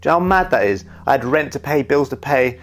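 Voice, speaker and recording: A man's voice: mid-range voice, lively intonation, fast talker. A young man talking candidly about his life, rambling and often saying "you know". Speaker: YouTuber and wedding videographer. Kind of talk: reflective casual monologue.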